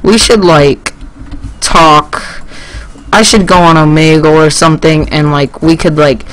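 A teenage male gaming YouTuber giving casual, rambling and excitable gameplay commentary, speaking into a headset indoors. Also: fairly high pitch, unhurried, low-quality recording.

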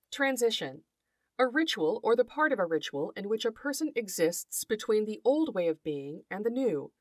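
A woman hosting an educational podcast, reading scripted narration in a measured, articulate and formal way. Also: medium pitch, no echo. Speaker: podcast host, folklore scholar.

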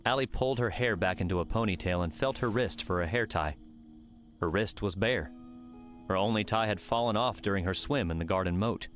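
A man's voice: on the low side, brisk, soft background music. A man reading scripted fiction as the narrator of an audiobook.

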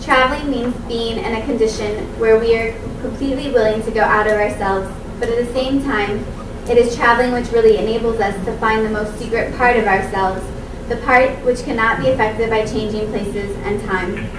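A woman at a seminar table reading translated excerpts aloud to a conference, measured and careful, with small restarts. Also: a little high, steady tone. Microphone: far room mic. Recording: echoey room, far from the mic, background noise.

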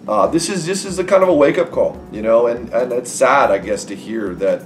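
A man's voice: mid-range voice, lively intonation, fairly quick, far from the mic, slight background noise. A middle-aged man, a teacher, thinking aloud in an interview in a classroom. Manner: thoughtful, questioning himself. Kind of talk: reflective interview.

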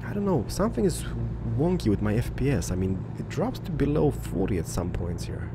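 A man's voice: medium pitch, big pitch swings, brisk. A man in his thirties from Poland, raised in Sweden, rambling casually through gameplay commentary and viewer questions from his home office. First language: Swedish. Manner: rambling, loose, many fillers and restarts. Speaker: gaming YouTuber. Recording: game engine noise under voice.

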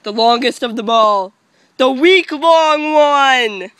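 A woman's voice: high voice, takes it slowly, a bit bright, clear, resonant tone, no room sound. A young girl hosting a home video with toy animals, announcing events in a playful way. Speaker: host of a toy animal show.

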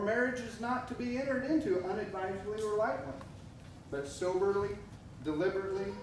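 A middle-aged man officiating a wedding, speaking in a measured, solemn way. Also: high-pitched, darkish tone, a little hiss or hum. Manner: measured, solemn liturgical delivery. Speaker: officiating minister. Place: church sanctuary. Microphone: far camera. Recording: echoey church from far off.

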